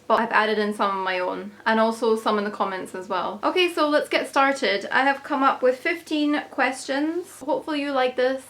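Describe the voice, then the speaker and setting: A young woman from Scotland with a Scottish accent, chatting casually to camera on her own channel, upbeat and quick to laugh. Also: a little high, fairly bright tone. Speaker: YouTube vlogger.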